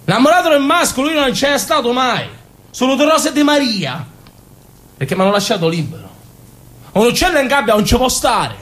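A man's voice: extremely high pitch, very animated, fairly quick, fairly bright tone, exaggerated voice. A man acting a naive, passionate young Sicilian man in an intense, confessional stage monologue with a Sicilian accent. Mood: wistful recollection. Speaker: stage actor. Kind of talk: theatrical monologue in dialect.

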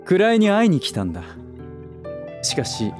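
Give this to a smooth, scheming gentleman of business with a fairly high voice. He speaks softly polite words with a teasing, condescending edge, and his pitch swings freely as in a polished audio drama. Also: huge pitch swings, moderate pace, music in the background. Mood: amused, provocative. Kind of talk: Japanese voice drama acting.

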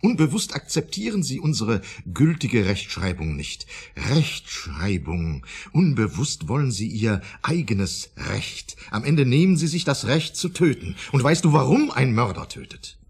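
Husky, pompous professor. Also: male voice, medium pitch, big pitch swings, unhurried, few pauses, a little mellow, exaggerated voice. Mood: excited, lecturing. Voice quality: husky, gravelly. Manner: pompous, lecturing, insistent. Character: smug, probing male professor of psychology, theorizing about everyone. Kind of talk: German radio drama acting.

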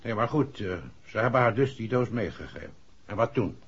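An actor playing an authoritative, impatient senior police chief in a 1960s Dutch radio play. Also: male voice, on the low side, lively intonation, fairly quick, husky. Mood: probing. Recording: dull, muffled vintage radio recording.

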